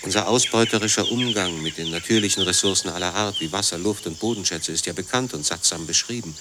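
A man reading as a critical writer and doctor in a radio play, in a measured, intellectual manner. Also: deep voice, background noise, close to an everyday voice. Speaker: reader of a philosophical essay. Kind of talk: scripted essay reading in a radio drama.

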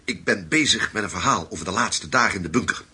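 Husky, courteous man in a radio play. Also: fairly low pitch, lively intonation, quick pace, bright, forceful tone, exaggerated voice. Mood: earnest. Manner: courteous, hesitant. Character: polite, hesitant man seeking out old wartime comrades. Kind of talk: radio drama acting.

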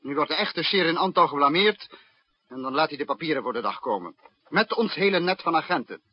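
An actor playing a stern, menacing head of state in a 1960s radio drama, with a husky voice. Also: male voice, on the high side, lively intonation, brisk, no room sound. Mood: angry, accusing. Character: stern, threatening male head of an enemy state. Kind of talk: 1960s Dutch radio play acting. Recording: dull, dry 1960s radio recording.

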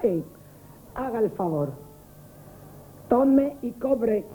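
Slow, sly old lady. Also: fairly high pitch, lively intonation, mellow. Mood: unhurried. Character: short-sighted elderly lady customer, quietly sly. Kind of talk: 1970s radio comic theatre acting. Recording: dull, noisy vintage radio broadcast.

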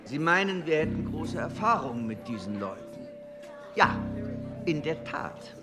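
An actor playing a suave, haughty, guarded foreign diplomat in a German radio drama, speaking in a measured, formal, evasive way. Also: male voice, on the high side, very animated. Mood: smooth, aggrieved. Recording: loud music behind the voice.

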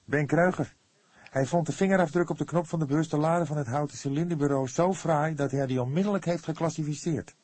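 A man's voice: on the high side, brisk, a little mellow, breathy edge, dry recording, pitched above the performer's own voice. A man playing an eager, dutiful young police detective who reports earnestly in an audiobook. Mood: eager, businesslike.

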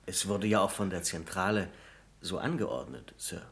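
A man's voice: sits low, moderate pace, fairly bright tone. An actor playing a deferential but self-assured bank deputy in a German radio play, polite and matter-of-fact as he explains things. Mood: dutiful.

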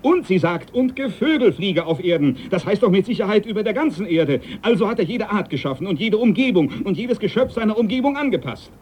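An actor playing a devout, domineering sea captain in a German radio drama, speaking in an emphatic, preachy manner. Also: male voice, high voice, big pitch swings, a little fast, hardly any pauses, a little mellow, slight background noise. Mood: dogmatic, indignant. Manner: emphatic, preachy, scripture-quoting.

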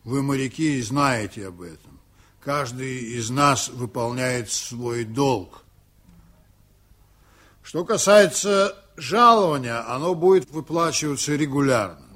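An actor playing a stern, composed ship's captain in a Soviet radio play, speaking formally and deliberately with command. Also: male voice, mid-range voice, animated, fairly bright tone. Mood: loud, official.

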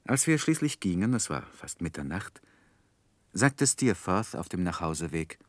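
Narrator role: thoughtful gentleman memoirist, deep voice, animated intonation, measured literary delivery. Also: dry recording. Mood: reflective. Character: reflective gentleman narrator recalling his youth. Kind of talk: scripted narration in 1960s German radio play.

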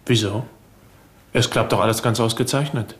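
A man's voice: mid-range voice, steady tone, moderate pace, a bit bright, close to an everyday voice. A man playing a sardonic young bank clerk. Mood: guarded. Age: young adult. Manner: sardonic, insolent. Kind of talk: 1970s radio drama acting.

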